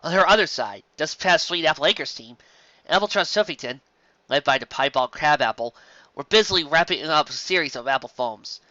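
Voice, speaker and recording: A story reader with a bright, forceful voice, reading a pony fan story aloud in a lively, expressive way. The sound is dull and lo-fi, and the room is dry. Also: mid-range voice, a little fast, dry recording.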